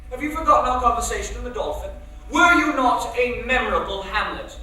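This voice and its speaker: An actor playing a brisk, commanding young theatre man, declaiming dramatically on stage. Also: very high voice, lively intonation, sharp tone, full character voice. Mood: brisk, insistent. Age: young adult. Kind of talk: theatrical stage acting, projected storytelling. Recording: echoey theatre, far mic, faint noise.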